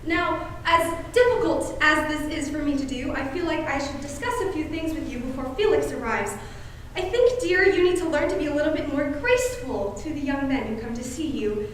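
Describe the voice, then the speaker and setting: A woman's voice: high-pitched, expressive, a little fast, a little hiss or hum. A woman on stage playing a fussy, insistent, genteel aunt and nagging wife, chatty and theatrical, in a distant and echoey recording. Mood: sugary, coaxing. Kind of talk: amateur stage play acting. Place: stage of a school performance space. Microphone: distant camera microphone.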